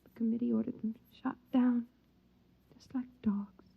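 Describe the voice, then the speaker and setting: Breathy, wistful young wife. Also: female voice, fairly high pitch, steady tone, normal speed, full character voice. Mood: haunted, pitying. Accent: American. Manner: soft, hesitant, dreamy, breathy. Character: wistful, timid young pregnant wife, secretly yearning. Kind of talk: mid-century American sci-fi radio drama acting. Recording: muffled vintage radio recording, music behind.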